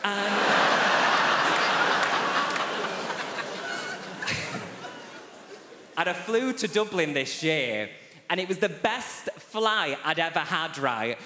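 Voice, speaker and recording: Bright-voiced man doing stand-up. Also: a little high. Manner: quick, conversational crowd chat. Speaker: stand-up comedian. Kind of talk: stand-up comedy. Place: large theatre stage. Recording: echoey theatre hall.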